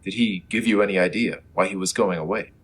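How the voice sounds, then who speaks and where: Man playing very deep, husky superhero reporter. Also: fairly even intonation, fairly quick. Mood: earnest, concerned. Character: Superman as mild-mannered reporter Clark Kent, earnest straight man. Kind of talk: old-time radio serial parody acting.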